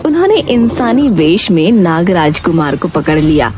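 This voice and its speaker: Lively woman narrating children's stories. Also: lowish voice, normal speed. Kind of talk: scripted children's story narration. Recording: music behind narration.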